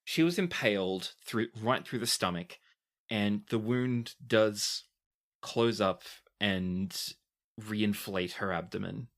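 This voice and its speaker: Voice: low-pitched, takes it slowly, a bit bright. A game master narrating an improvised tabletop role-playing game.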